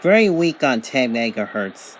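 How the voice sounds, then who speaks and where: A man's voice: mid-range voice, big pitch swings, measured pace, slight background noise, rough recording. Man giving a casual gadget review. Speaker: product reviewer.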